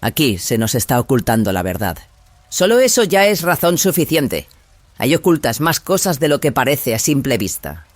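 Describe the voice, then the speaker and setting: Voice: medium pitch, big pitch swings, normal speed, no room sound. Zealous warrior woman acted out, swooping intonation. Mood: righteous, suspicious. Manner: forceful, righteous. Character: zealous, suspicious female warrior, second-in-command. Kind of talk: dramatized audio fiction acting.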